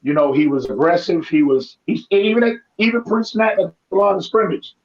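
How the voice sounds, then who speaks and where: Hoarse man breaking down football film. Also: high-pitched, fairly quick, muffled recording. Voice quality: raspy. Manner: animated, analytical, many restarts. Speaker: football analyst guest. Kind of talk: casual sports talk.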